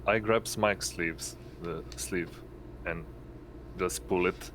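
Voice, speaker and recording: A man's voice: low-pitched, takes it slowly, a bit bright, a little hiss or hum. A man speaking casually as a player in a tabletop roleplaying game, describing his moves. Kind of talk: casual improvised roleplay chat.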